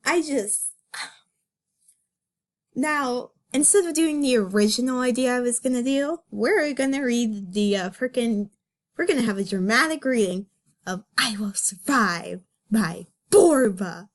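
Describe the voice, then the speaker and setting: A woman giving casual, playful commentary as host of a comic dramatic reading, with sarcastic, self-mocking asides. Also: on the high side.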